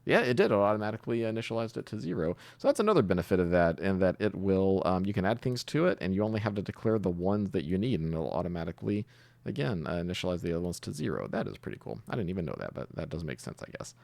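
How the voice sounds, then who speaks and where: Very deep, gravelly-voiced man teaching. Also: very animated, a little mellow, dry recording. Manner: thinking aloud, hesitant pauses. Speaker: tutorial host, programming instructor. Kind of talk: casual screen-share coding tutorial, improvised.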